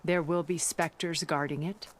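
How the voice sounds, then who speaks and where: A woman playing a composed, secretive strategist in a video game, speaking in a measured, formal and assured way. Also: low-pitched, steady tone, slight background noise. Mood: matter-of-fact.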